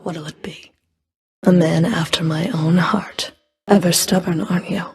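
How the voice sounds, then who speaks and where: A woman's voice: lowish voice, fairly even intonation, measured pace, a little mellow, slightly rough, full character voice. A woman playing a stern, sardonic underworld Fury in a video game, speaking in a cool, dry, clipped way. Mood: cold, threatening. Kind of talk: video game character voice acting.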